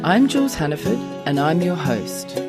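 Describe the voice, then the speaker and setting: A woman's voice: deep voice, big pitch swings, moderate pace, over loud music. A middle-aged woman with an Australian accent, hosting a conversational podcast interview.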